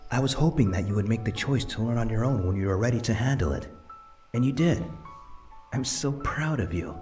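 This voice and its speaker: An actor playing a wise, gentle grandfather spirit in a visual novel, speaking calmly and reassuringly, with soft music behind. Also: medium pitch, brisk, lightly acted. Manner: calm, tender, reassuring.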